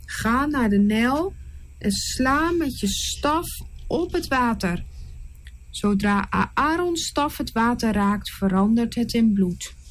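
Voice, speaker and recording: A woman voicing God as a calm, reassuring presence giving steady instructions. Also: fairly high pitch, takes it slowly, slight background noise.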